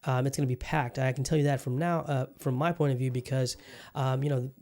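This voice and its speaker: A man's voice: mid-range voice, fairly even intonation, fairly quick, darkish tone, a little hiss or hum. A man hosting his own podcast in a casual solo chat, upbeat and rambling, often repeating his phrases. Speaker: podcast host.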